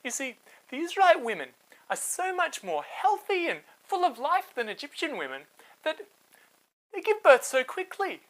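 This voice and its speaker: A man's voice: very high voice, wildly swooping pitch, moderate pace, fairly bright tone, voice pushed up, exaggerated voice, rough recording. A man voicing a flustered female midwife in a children's story, protesting with feigned innocence as she makes excuses. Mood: innocent protest.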